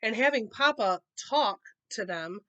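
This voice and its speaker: A gentle mother bear, acted by a woman reader, speaks earnestly and coaxingly. Her pitch rises and falls in a lively storybook way, polite but concerned. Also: a little slow, no echo, dull audio. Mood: worried.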